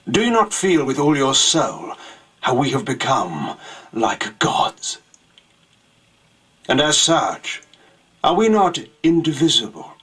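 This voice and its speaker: An actor playing an ancient, grandiose male vampire lord in a video game cutscene, declaiming slowly. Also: mid-range voice, sharp tone, husky, far from the mic, exaggerated voice.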